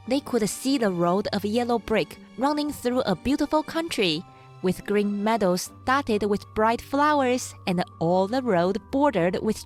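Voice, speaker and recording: A woman narrating a children's story from a script as an audiobook reader. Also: a little high. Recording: music behind the voice.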